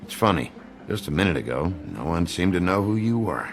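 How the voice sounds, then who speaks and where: A man playing a world-weary, tough sheriff who is the Big Bad Wolf, terse and sardonic with a gravelly voice, in a hard-boiled video game. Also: deep voice, very animated, fairly quick, music in the background. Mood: stern, dry.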